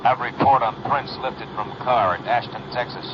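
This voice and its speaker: An actor playing a police radio dispatcher giving a clipped, formal report, in a 1950s radio drama. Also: male voice, mid-range voice, steady tone, moderate pace, natural voice. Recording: dull old radio recording with hiss.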